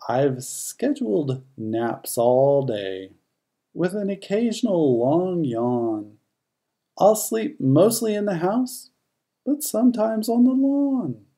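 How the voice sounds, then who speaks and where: A narrator voicing a lazy, relaxed beagle dog in an unhurried, rhyming children's storybook. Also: mid-range voice, voice pushed up.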